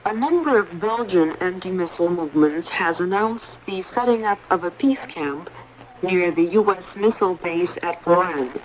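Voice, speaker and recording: Noisy shortwave radio, low-voiced female newsreader. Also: expressive. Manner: measured, impersonal delivery. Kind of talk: formal scripted international radio news bulletin.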